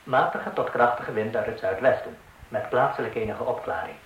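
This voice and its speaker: An actor playing a radio weather announcer who reads the forecast in a flat, official manner. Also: male voice, mid-range voice, moderate pace, echoey room, far from the mic, highs cut off, a little hiss or hum, natural voice.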